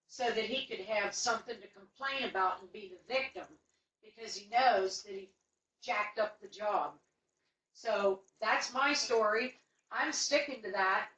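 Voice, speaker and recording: A woman's voice: on the high side, fairly bright tone, echoey room, distant mic. An indignant, insistent woman recounting a complaint as a customer on a recorded phone call, speaking emphatically. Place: indoors in a room, away from the mic.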